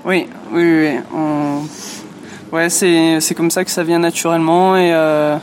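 A woman's voice: low voice, flat delivery, takes it slowly, darkish tone, slight background noise. A woman whose first language is English, a musician answering interview questions hesitantly, with many fillers and restarts. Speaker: musician in a duo. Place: lives in France.

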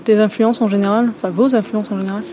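A woman interviewer asking brisk questions, heard from farther off than the mic. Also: medium pitch, fairly even intonation, mellow, slight background noise.

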